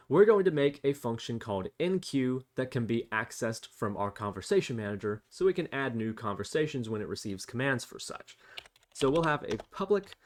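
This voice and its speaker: A man hosting an explanatory programming tutorial, talking through each step as he types. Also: mid-range voice. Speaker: tutorial host. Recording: faint noise, keyboard typing clicks. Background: computer keyboard typing and clicks.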